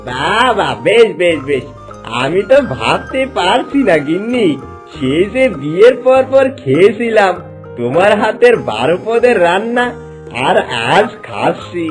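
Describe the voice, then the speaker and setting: An eager cartoon ghost husband acted by a man, doting and fawning. The voice sits high and swings widely, with music behind it. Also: very animated, unhurried. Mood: admiring. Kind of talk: cartoon voice acting.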